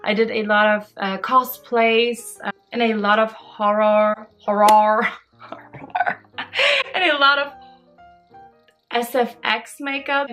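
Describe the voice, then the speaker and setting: A woman's voice: on the high side, fairly even intonation, takes it slowly, long pauses, fairly bright tone, soft background music. A woman, chatting casually and expressively in a bubbly way as she answers questions for a vlog. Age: early 20s. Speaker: social media creator answering follower questions.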